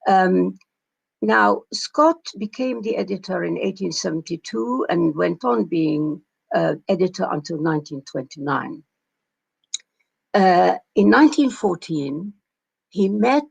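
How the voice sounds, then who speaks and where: An older woman from Palestine delivers a keynote in a slow, deliberate way, with frequent pauses and hesitations. Her voice sits a little low and has a gravelly, husky texture. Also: animated, dull audio. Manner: measured, reflective, with many pauses and fillers. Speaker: keynote speaker. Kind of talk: conference keynote talk.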